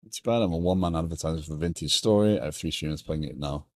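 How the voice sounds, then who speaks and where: A man with a British accent softened by years in America, chatting casually on a coding live stream and thinking aloud in a stop-start way. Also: very deep voice, fast.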